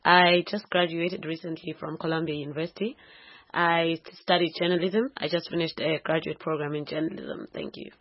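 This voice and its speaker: A young woman introduces herself politely and briefly as a radio guest. Her voice sits somewhat low and is recorded dry, with a slightly dull tone. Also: steady tone, a little fast, dry recording. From Zimbabwe. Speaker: studio guest, journalism graduate. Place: radio studio.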